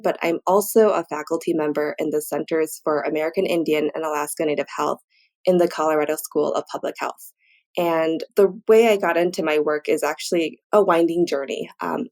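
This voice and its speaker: A woman's voice: low voice, gravelly, a little mellow. A woman answering interview questions as a guest expert, explaining things in an informal, conversational way. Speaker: guest, physician and researcher.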